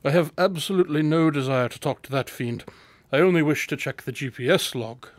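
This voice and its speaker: An actor playing a clever, confident detective in a radio drama, with precise, formal and superior diction. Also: male voice, on the high side, brisk, no echo, natural voice. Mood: insistent, superior.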